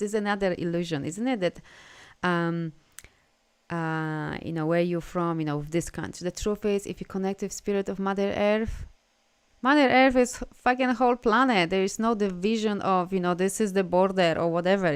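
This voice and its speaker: A woman's voice: fairly low pitch, clean tone. A woman from Poland, hosting a casual spiritual live stream as an energy healer, gushing with praise for her viewers. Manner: effusive, gushing praise and frequent laughter.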